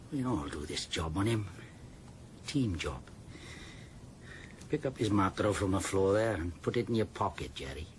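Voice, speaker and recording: A man's voice: lowish voice, a little hiss or hum. A man playing a cruel gang boss in a radio drama, giving orders in a clipped, menacing way. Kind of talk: 1990s radio drama acting.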